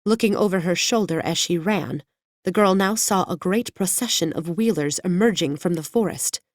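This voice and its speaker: A woman narrating children's fiction as an audiobook, in a measured storytelling manner. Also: lowish voice, dry recording, studio quality.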